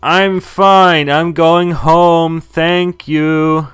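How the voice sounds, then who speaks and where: A man's voice: a little high, level tone, very slow, a bit bright, clear, resonant tone. Swearing, laughing streamer man. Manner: profane, laughing, reads game text aloud. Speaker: streamer playing a visual novel. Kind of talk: casual gaming commentary. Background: faint game music.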